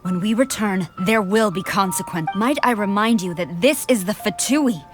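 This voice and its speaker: A woman's voice: medium pitch, moderate pace, natural voice. Actress voicing strict female army commander in a game, formal, clipped, music behind. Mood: stern, angry. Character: stern, formal female military commander, proud and dutiful. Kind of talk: English video game voice acting.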